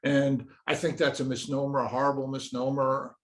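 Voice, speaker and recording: A man's voice: mid-range voice, flat delivery, normal speed. A man in his sixties, a professor, answering interview questions earnestly, often restarting his sentences as he searches for words, in an office. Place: indoor office with shelves and pictures.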